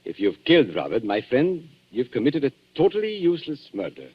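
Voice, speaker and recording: An actor playing a suave, menacing antique dealer with formal, sardonic politeness in a 1940s radio thriller. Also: male voice, medium pitch, huge pitch swings, fairly quick, slightly rough. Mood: cool menace. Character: suave, menacing male antique dealer toying with guilty visitors. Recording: dull 1940s radio broadcast.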